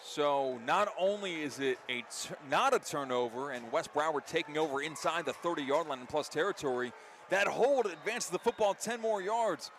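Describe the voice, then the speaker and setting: A male play-by-play announcer with a somewhat high voice calls a live football game on radio. His delivery is excitable and built to surge on big plays. Faint outdoor stadium noise sits behind him. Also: normal speed. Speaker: play-by-play commentator. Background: faint outdoor ambience.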